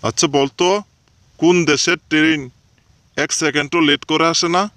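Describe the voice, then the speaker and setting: A man's voice: fairly high pitch, fairly even intonation, normal speed, a bit bright, dry recording, natural voice. A man voicing a stern, commanding schoolteacher in a comedy cartoon. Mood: quizzing. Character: stern male schoolteacher quizzing his cheeky pupils.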